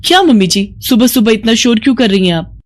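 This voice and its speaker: A narrator voicing a bratty, short-tempered young city daughter-in-law who scolds indignantly in an animated moral story. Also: mid-range voice, fast, pitched above the performer's own voice, lightly acted. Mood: indignant, scolding.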